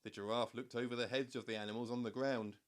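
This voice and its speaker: Casting: male children's audiobook narrator, mid-pitched voice, measured and expressive storytelling delivery.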